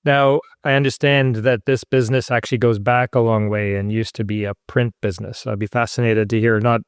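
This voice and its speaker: A man hosting an interview podcast in a chatty, friendly way, slipping in jokes at his own expense. His voice sits at an ordinary middle pitch with no accent, though he grew up in Scotland. The sound is dry and close, with no room around it. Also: steady tone, a little fast, smooth, no room sound. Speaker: podcast host. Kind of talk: interview podcast, casual chat.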